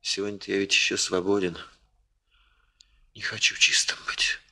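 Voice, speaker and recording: An actor playing an earnest, impulsive, lovelorn young bridegroom with a husky voice and halting, intense phrases in a 1960s radio drama. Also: male voice, on the low side, flat delivery, bright, forceful tone, heavily acted. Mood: yearning, earnest.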